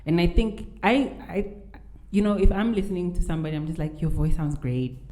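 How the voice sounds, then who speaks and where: Low-voiced woman with a Nigerian-Namibian accent. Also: fairly quick, warm tone. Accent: mixed Nigerian and Namibian. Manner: candid, encouraging, emphatic. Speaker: podcast guest. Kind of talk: casual conversation. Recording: echoey room.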